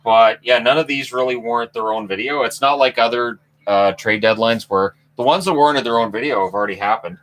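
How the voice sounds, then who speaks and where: A man's voice: medium pitch, brisk, hardly any pauses, a bit bright, rough recording. A Canadian man hosting a casual live chat about hockey news, rambling and self-mocking. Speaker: hockey channel host.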